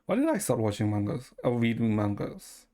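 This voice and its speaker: A man's voice: medium pitch, huge pitch swings, fairly quick, dark tone. A man from Ecuador chatting casually to his live stream viewers in a rambling, informal way, swearing freely. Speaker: livestreamer. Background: music.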